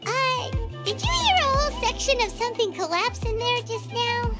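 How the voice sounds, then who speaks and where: A woman's voice: very high-pitched, big pitch swings, normal speed. A woman voicing a small, childlike, chirpy fairy companion in a video game, chatting away with music behind. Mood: curious, puzzled. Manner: speaks of self in third person, childlike. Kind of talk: video game character dialogue.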